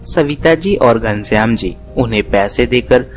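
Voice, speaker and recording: A man's voice: mid-range voice, animated, unhurried, soft background music. A man narrating a scripted family moral story as a storyteller.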